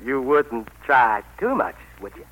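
A cocky, menacing country drifter with a drawl. His mid-range voice moves around animatedly in pitch. The style is vintage 1940s radio acting. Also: huge pitch swings, measured pace, a bit bright, exaggerated voice, low-quality recording. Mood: cocky wooing. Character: swaggering, menacing young country drifter turned suitor.

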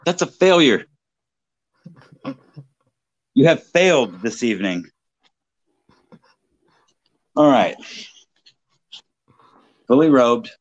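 A man's voice: medium pitch, sing-song, slow pace, long pauses, lo-fi sound. A man co-hosting a livestream, chatting casually, profane and self-mocking, rambling into long stories. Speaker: livestream co-host.

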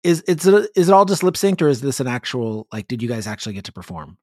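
A man's voice: mid-range voice, very animated, fast, darkish tone, no echo, clean studio sound. A man hosting a podcast, chatting informally and reacting with enthusiasm. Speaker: podcast host. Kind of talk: casual interview chat.

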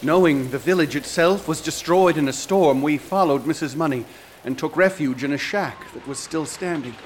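A man playing a haunted investigator with a high voice. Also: moderate pace, background noise, lightly acted. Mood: recounting. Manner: earnest and urgent, insistent. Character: shaken young male investigator, obsessive and haunted. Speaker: narrator and investigator recounting events. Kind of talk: radio drama narration. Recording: scored with clear background music.

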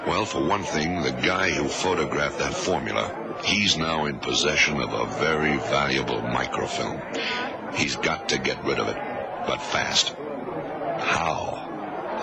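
An actor playing a terse, commanding male investigator in a radio drama, giving clipped orders and deliberate explanations. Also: rumbling low, gravelly, heavily acted. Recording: noisy old radio drama recording.

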